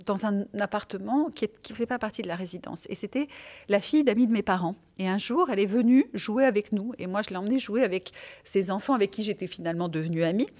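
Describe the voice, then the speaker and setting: A woman's voice: medium pitch, fast talker, a little mellow. A middle-aged woman, raised in France, recalling her life and career in an interview, with frequent hesitations and restarts. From born in Tunisia, raised in France. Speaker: guest, television presenter. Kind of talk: podcast interview, reflective storytelling.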